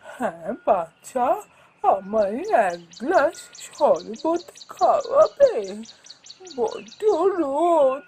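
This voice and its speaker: A frail old granny from a cartoon fairy tale. She drags her words out extremely slowly in a husky voice that swings high and low. She sounds weary and plaintive. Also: very animated, mellow, exaggerated voice. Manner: drawn-out, halting, plaintive speech.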